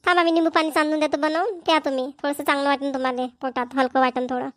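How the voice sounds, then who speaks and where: A woman's voice: high-pitched, level tone, rapid-fire, no echo, lightly acted. A woman voicing a caring young daughter-in-law in a family cartoon, coaxing and attentive, with a Varhadi Marathi accent. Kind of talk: cartoon family comedy voice acting.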